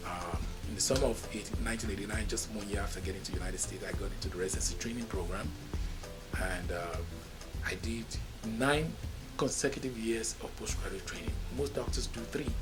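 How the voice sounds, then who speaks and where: A middle-aged man from Nigeria answers interview questions at a steady, measured pace, lifting his voice now and then for emphasis. His voice sits a little low. Music plays clearly underneath him. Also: low-quality recording. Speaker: cardiologist interviewee. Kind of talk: interview answers, personal story.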